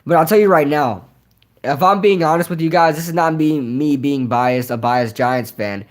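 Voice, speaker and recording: A young man whose voice is nearly shot from yelling, rambling casually through a live Q&A with lots of fillers and repeated words. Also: fairly high pitch, moderate pace. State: hoarse, voice nearly gone from yelling. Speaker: streamer hosting a live Q&A. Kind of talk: casual live-stream chat reading comments.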